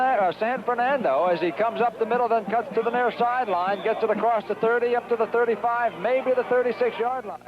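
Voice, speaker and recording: An American man doing live radio play-by-play of high school football, describing the action vividly in short, clipped phrases. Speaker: play-by-play announcer. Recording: dull, noisy 1970s radio broadcast audio.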